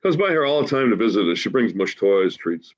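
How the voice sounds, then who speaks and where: Husky, animated folksy dreamer Joe played by a man. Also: lowish voice, brisk. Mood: fond. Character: big-hearted, folksy homeless man Joe, a dying dreamer. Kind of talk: staged play reading, dialogue. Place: remote reading over video call. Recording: dull, lo-fi video call audio.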